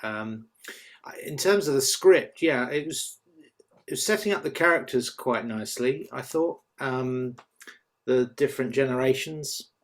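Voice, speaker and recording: A man's voice: medium pitch, measured pace. A man giving informal, tentative feedback on a script as a panel reader, hesitating as he goes.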